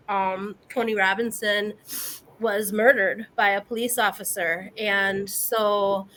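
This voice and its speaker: A woman from the US Midwest whose first language is Spanish, speaking as a guest on a video call panel in a reflective, earnest way with frequent pauses. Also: medium pitch, bright, forceful tone, poor audio. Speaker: guest panelist, community organizer. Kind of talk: casual panel chat.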